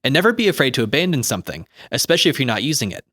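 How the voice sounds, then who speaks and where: A man's voice: medium pitch, big pitch swings, very fast, a bit bright, no echo. A man reading scripted explanatory narration in an articulate, instructive way, like an audiobook of a guide. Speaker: co-host reading game rulebook commentary.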